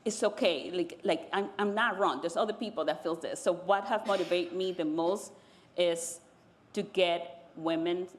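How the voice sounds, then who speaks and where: Panelist, woman from the Dominican Republic, Spanish first language, lowish voice, slow and deliberate. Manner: measured, pausing, searching for words. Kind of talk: personal story on a panel.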